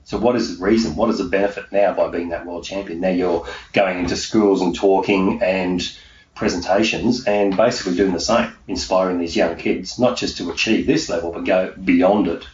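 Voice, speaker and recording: A man's voice: deep voice, fairly even intonation, normal speed, darkish tone. A middle-aged man with an Australian accent talking conversationally as a motivational podcast host, emphatic and fond of rhetorical questions. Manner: emphatic, poses rhetorical questions and answers them. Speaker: podcast host and mindset coach. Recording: echoey room, muffled, noisy background.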